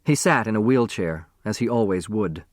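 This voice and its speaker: A man giving an expressive scripted narration of a novel as an audiobook narrator. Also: on the low side, normal speed, no room sound, studio quality.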